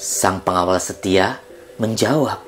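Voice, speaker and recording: A man telling a children's story in a deliberate, dramatic manner, ending with a moral lesson. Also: sits low, faint music behind. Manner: expressive, deliberate, with dramatic emphasis.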